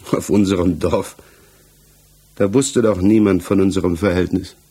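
An actor in a 1960s German radio play, playing an accused married village mechanic who answers earnestly, evasively and haltingly. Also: male voice, low voice, steady tone, warm tone, husky, heavily acted. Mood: defensive. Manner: hesitant, halting, defensive.

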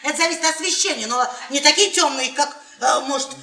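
Brash, bright, high-voiced wife. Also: female voice, fast. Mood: goading, boastful. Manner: sarcastic, goading, theatrical. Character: brash, taunting middle-aged wife, domineering and scornful. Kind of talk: theatrical radio play acting. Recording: echoey stage sound, distant microphone.

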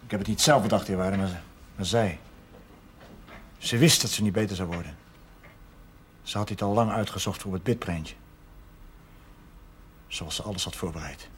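A man's voice: low voice, moderate pace, pauses often, a little hiss or hum, close to an everyday voice. A man acting a humble, grieving working-class widower in a period television drama, hesitant, earnest and deferential. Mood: subdued grief. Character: humble, grieving widowed brickworker father, respectful and quiet-living.

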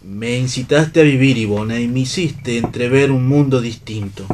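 An actor playing an earnest idealistic hero in a radio play, solemn and declamatory. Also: male voice, mid-range voice, moderate pace, a little mellow, a little hiss or hum, lightly acted. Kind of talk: theatrical radio play reading.

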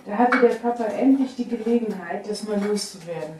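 A middle-aged or older woman in candid personal conversation, speaking in a reflective, halting way. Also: medium pitch, background noise. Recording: echoey room, distant from mic.

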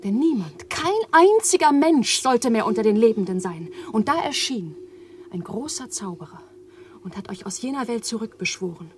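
An actress playing Eva, an overwrought and bitter young woman, in a radio play, speaking with impassioned swings from hushed to loud. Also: on the high side, lively intonation, normal speed, a little hiss or hum, close to an everyday voice. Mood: intense. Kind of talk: 1980s radio drama acting.

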